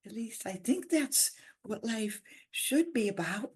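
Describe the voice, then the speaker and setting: A woman in an improv scene playing a childlike garden-tool toy who giggles and speaks haltingly. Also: a little high, expressive, darkish tone. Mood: giggly, dreamy. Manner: giggly, halting, wide-eyed.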